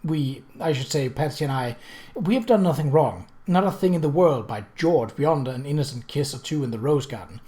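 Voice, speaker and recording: A reader voicing an earnest, awkward, very young upper-class gentleman, stammering and apologetic. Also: mid-range voice, expressive, moderate pace, close to an everyday voice. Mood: earnest, anxious.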